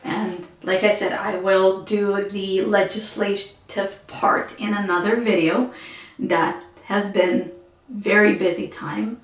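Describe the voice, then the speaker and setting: Ukrainian-accented woman vlogger, mid pitch, quiet halting delivery, roomy echo. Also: fairly even intonation. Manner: soft-spoken, halting with fillers. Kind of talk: casual personal vlog.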